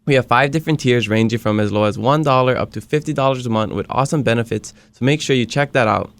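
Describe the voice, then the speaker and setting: A man's voice: mid-range voice, fast talker, no echo. A man from Hilo, Hawaii, whose first language is Hawaiian, hosting a casual podcast interview and laughing often. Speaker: podcast host.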